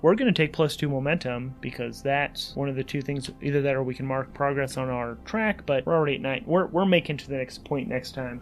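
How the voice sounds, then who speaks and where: Man musing aloud through a solo game. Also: fairly high pitch. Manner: conversational, musing aloud to himself. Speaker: solo tabletop RPG player and podcast host. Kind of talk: thinking-aloud game narration.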